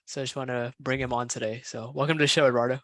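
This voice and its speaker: Bright, quick-talking male podcast host. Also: medium pitch, steady tone, no echo. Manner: upbeat, friendly, laughs easily. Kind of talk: casual interview chat.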